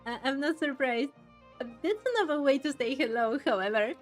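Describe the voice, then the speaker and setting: A woman's voice: sits high, normal speed, soft background music. A woman streaming a game, commenting casually and musing aloud in a reactive way, sometimes reading text out. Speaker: gameplay streamer.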